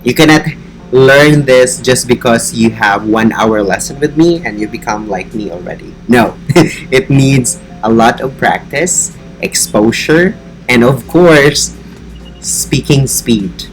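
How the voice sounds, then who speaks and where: A man's voice: mid-range voice, slow pace, faint music behind, low-quality recording. A man with a Filipino accent, an online English teacher talking earnestly and encouragingly about his lessons. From Philippines. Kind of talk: casual teaching vlog.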